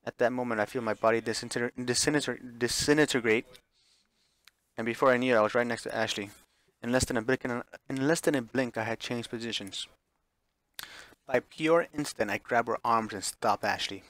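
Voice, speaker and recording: A man's voice: medium pitch, moderate pace, fairly bright tone, dry recording, poor audio. Man narrating a visual novel, dry sound. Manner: stumbles over words and re-reads phrases. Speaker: streamer reading a visual novel aloud. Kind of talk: dramatic read-aloud narration.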